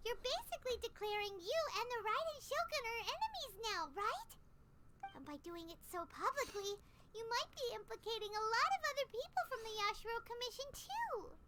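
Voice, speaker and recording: A woman voicing a tiny, excitable childlike fairy companion in a video game, perky and exclamatory. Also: very high voice, heavy background noise. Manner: perky, exclamatory, talks of herself in third person. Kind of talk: English video game voice acting.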